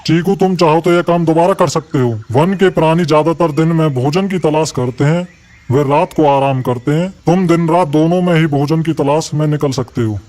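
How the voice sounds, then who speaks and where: A man's voice: on the high side, moderate pace, a little mellow, lightly acted. A man voicing a troubled male animal who guards the forest against thieves, in a cartoon story. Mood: worried. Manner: earnest, troubled. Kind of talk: cartoon voice acting.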